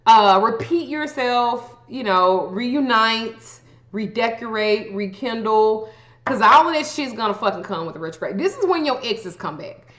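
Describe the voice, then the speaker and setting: A woman's voice: fairly high pitch, animated, a little slow, a bit bright. A woman vlogger chatting casually to viewers as she explains things, blunt and profane, with joking asides, in a muffled, echoey room recording. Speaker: astrology vlogger giving tips. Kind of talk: casual explainer chat to camera.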